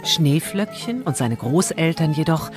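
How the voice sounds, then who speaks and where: Low, animated woman storyteller over music. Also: measured pace. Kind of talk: scripted fairy tale narration.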